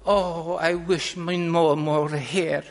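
A woman's voice: sits low, steady tone, slow. A woman voicing an orphaned little girl, frightened and curious, for a dramatic told story. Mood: tearful longing. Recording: long hall reverb.

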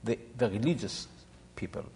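Echoey older man, low-pitched and reflective. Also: animated, normal speed, a little mellow. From Israel. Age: 70s. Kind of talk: informal explanatory talk to an audience. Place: large echoey room or hall. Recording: reverberant hall sound.

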